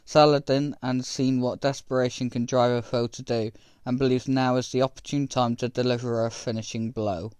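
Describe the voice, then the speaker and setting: An actor playing a businesslike robot companion in a sci-fi game, narrating mission briefings in a matter-of-fact way. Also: male voice, medium pitch, level tone, normal speed, hardly any pauses, darkish tone, dry recording, natural voice. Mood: sober briefing. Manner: clear, matter-of-fact reporting.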